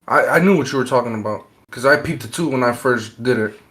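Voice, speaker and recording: Man, medium pitch, rapper interview guest, informal, slangy, swears casually. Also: quick pace, fairly bright tone, breathy edge. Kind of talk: casual chat.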